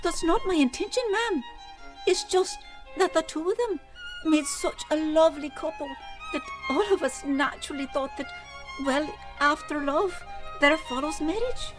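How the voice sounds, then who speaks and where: A woman's voice: high voice, moderate pace, over music, close to an everyday voice, rough recording. A woman playing a flustered, gossipy village neighbor in an old-style radio drama, who can never hold her tongue. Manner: flustered, chatty, apologetic.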